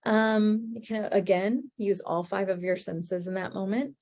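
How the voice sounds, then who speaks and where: A woman's voice: medium pitch, fairly even intonation, measured pace, a little mellow, dry recording. Chatty sounding woman therapist, webinar talk, dry close mic. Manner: chatty, many fillers, laughs easily. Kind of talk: informal webinar teaching.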